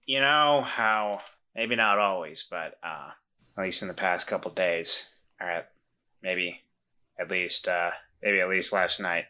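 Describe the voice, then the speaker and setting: A woman voicing a remorseful weasel man who once ran away from home, speaking in a halting, reflective way and gently encouraging others. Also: extremely low pitch, heavily acted.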